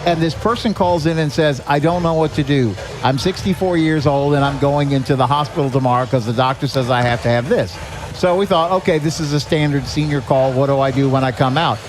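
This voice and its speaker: A man's voice: on the high side, brisk. An older American man talking earnestly and conversationally as a podcast guest, telling anecdotes and acting out bits of dialogue. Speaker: guest, executive director of a senior help organization. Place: live podcast at a restaurant. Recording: music behind the talk. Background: music.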